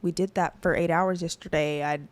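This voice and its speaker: A woman's voice: lowish voice, fairly even intonation, fairly quick, dry recording. A young woman co-hosting a casual podcast, chatting loosely with lots of fillers and laughs. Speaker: podcast co-host. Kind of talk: casual chat.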